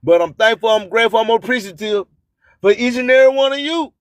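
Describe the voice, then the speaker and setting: A man's voice: very high voice, animated, fast talker, no echo, studio quality. A man hosting a radio show, delivering a declamatory, rhyming spoken-word monologue with repeated phrases.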